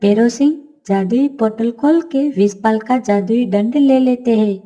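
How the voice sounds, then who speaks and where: A woman's voice: on the high side, unhurried, warm tone, slightly rough, lo-fi sound. A woman narrating a scripted children's bedtime fairy tale. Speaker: story narrator.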